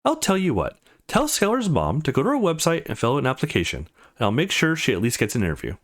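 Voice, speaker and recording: A man voicing a friendly, caring adult woman from a visual novel, chatty and gently concerned. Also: medium pitch, wildly swooping pitch, fast talker. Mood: helpful.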